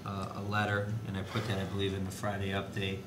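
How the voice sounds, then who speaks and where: Man, administrator at a public meeting, low-pitched, halting delivery, noisy roomy recording. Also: completely flat delivery. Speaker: school district administrator reporting to board. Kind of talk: school board meeting discussion. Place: indoor board meeting room. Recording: noisy, echoey meeting room with low rumble.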